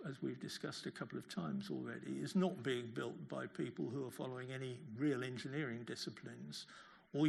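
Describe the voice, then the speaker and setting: Older male academic, creaky voice, halting delivery, answering questions at a lecture. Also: medium pitch. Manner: halting, repeats words while phrasing. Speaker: professor giving a lecture.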